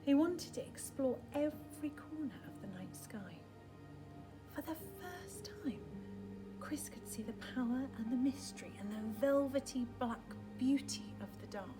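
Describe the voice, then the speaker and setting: A woman reading a picture book aloud for young children, dramatic and expressive, often dropping into a hushed, breathy tone. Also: sits high, measured pace, over music.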